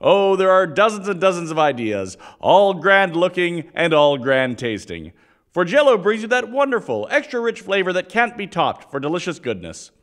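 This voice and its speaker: A man's voice: high voice, big pitch swings, moderate pace, a bit bright. A man playing a polished, folksy sponsor's announcer in a 1940s-style radio commercial. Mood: enthusiastic.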